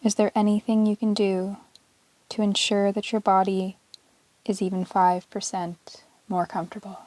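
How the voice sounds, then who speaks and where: A woman teaching yoga, guiding a relaxation meditation in a calm, measured, soothing way with long pauses between short phrases. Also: mid-range voice, no echo. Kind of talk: guided yoga nidra meditation, relaxation narration.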